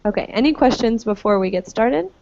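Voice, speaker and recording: A woman runs a live English class over a video call, explaining grammar step by step in a patient voice with plenty of "um"s. Her voice sits a little high but sounds mellow and soft. The call audio is somewhat muffled. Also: expressive, a little fast, creaky. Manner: patient, many fillers. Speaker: online English teacher. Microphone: computer mic on a video call.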